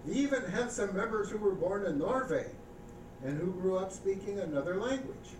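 A man preaching a sermon as pastor, reading scripture slowly and with emphasis, then speaking casually and asking the congregation questions. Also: high-pitched, animated. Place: church sanctuary. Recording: echoey church recording from far off with background noise.

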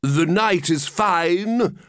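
A man voicing a pompous, greedy walrus from a storybook poem, talking in a slow, grandiose way with false sympathy. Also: high-pitched, a bit bright, no room sound, studio quality, voice pushed up, full character voice. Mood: smugly genial. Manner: slow, drawn-out, grandiose delivery. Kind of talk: storybook verse character reading.

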